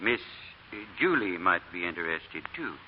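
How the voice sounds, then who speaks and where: An actor playing a grave, folksy family doctor, speaking earnestly in a 1940s radio drama. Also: male voice, sits low, very animated, normal speed, husky, full character voice. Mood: conversational. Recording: dull, noisy 1940 radio recording.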